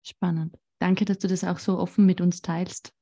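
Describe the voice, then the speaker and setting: A woman's voice: fairly low pitch, flat delivery, moderate pace, warm tone. A woman hosting an interview, attentive and affirming as she asks her guest questions. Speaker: podcast host.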